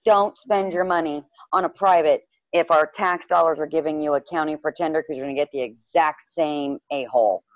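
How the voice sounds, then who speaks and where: Woman advocate, low voice, blunt and emphatic, dry muffled sound. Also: a little fast, hardly any pauses, dry recording. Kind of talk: advising in a group meeting.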